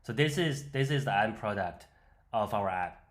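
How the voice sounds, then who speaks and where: A man presenting an unscripted software walkthrough, explaining step by step in a hesitant way. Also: on the low side, expressive, normal speed. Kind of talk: unscripted screen-demo walkthrough.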